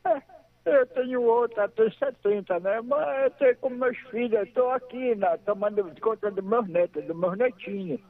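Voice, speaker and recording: A man's voice: very high voice, moderate pace. A man, calling in to a radio show and chatting casually, repeating himself and laughing easily. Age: 60s. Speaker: radio call-in caller. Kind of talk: casual phone chat. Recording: tinny phone line.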